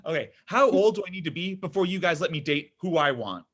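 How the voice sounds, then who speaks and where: A man's voice: on the high side, lively intonation, fairly quick, a bit bright, slightly rough. A man acting a needy, self-dramatizing man in his thirties at a comedy table read, exasperated and argumentative. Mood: pleading. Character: needy, whiny, self-dramatizing thirty-four-year-old son.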